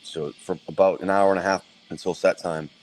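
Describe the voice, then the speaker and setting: Deep-voiced man, relaxed and plain-spoken. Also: fairly quick. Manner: relaxed, plain-spoken, lots of ums and restarts. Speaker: metal band vocalist, guest. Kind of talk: casual interview answers.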